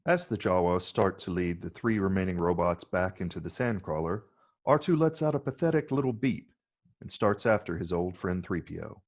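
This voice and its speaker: A man's voice: sits low, expressive, normal speed. A man narrating scripted screenplay stage directions for a table read.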